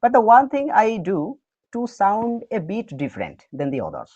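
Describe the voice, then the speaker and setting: Man, Odia accent, native Odia speaker, animated pitch, casual interview guest, dull lo-fi audio. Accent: Odia-accented English. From Odisha, India. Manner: animated, fills pauses with "okay". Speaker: interview guest, English learner. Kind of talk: casual conversation on a call.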